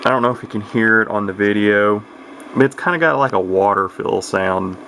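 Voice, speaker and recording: A man's voice: fairly low pitch, fairly quick, fairly bright tone, a little hiss or hum. A man giving a casual, unscripted product walkthrough in a rambling, matter-of-fact way, as a hobbyist talking near his camera. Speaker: radio collector giving a product demonstration.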